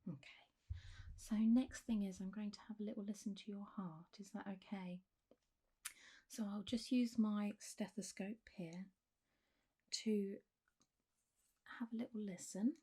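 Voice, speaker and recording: A woman with a soft, mellow voice that is breathy and often slips into whispering. She plays a clinic doctor in an ASMR roleplay. Her manner is gentle and reassuring, and she takes her time, as if easing a patient through a check-up. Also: mid-range voice, fairly even intonation, unhurried, long pauses. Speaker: ASMR performer role-playing a clinic doctor.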